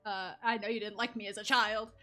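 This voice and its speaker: A woman's voice: mid-range voice, steady tone, a little fast, bright, forceful tone, soft background music. A woman giving casual, reactive and teasing live commentary while she plays a game. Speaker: game streamer.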